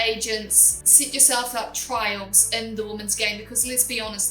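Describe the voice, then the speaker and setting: A woman's voice: mid-range voice, sharp tone. Young woman, New Zealand accent, practical and direct, recorded a bit off-mic in an echoey room, faint music behind. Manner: direct, practical, step-by-step tips. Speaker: host. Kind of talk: casual advice vlog. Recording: roomy and a bit distant from the mic.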